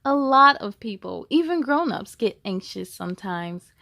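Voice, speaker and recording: A woman reading the part of a calm, reassuring female children's doctor in a storybook, in a measured way. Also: medium pitch, gravelly, dry recording, pitched below the performer's own voice, heavily acted.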